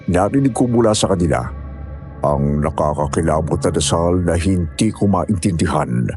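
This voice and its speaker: A man's voice: low-pitched, expressive, normal speed, darkish tone, faint music behind. A man narrating a scripted first-person horror story.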